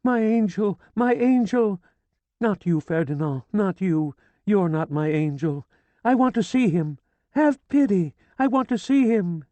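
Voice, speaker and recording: A man's voice: expressive, unhurried, mellow, no echo. A man reading an audiobook, voicing a hysterical older woman who wails, pleads and screams in grief. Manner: shrill, frantic pleading and screaming. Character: hysterical grieving older woman, wailing and shrieking. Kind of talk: audiobook character voice.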